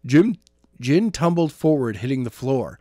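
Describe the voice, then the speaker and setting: A man's voice: medium pitch, very animated, measured pace, dark tone, dry recording. Man narrating a story, dry sound. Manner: stumbles and rereads phrases. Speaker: audiobook narrator. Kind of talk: amateur scripted story narration.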